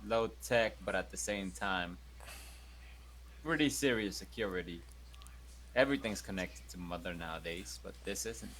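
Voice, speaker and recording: Male player, lower voice, loose jokey delivery, a little background hiss. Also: fairly bright tone. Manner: quick, joking, casual swearing. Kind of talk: casual tabletop game chat.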